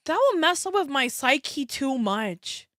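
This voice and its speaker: A woman's voice: high voice, big pitch swings, a little slow, fairly bright tone, dry recording, studio quality. A woman in her thirties reacting to a video with casual, sympathetic chatter, gasping and sighing. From United States. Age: 30s. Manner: chatty, sympathetic, frequent gasps and sighs. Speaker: VTuber streamer reacting to a video.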